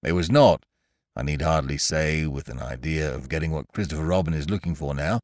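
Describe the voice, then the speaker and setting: A man's voice: a bass voice, fairly quick, a little mellow, dry recording, clean studio sound, voice pushed down. A man voicing a gloomy, self-important old donkey in a storybook, speaking in a ponderous, doleful way.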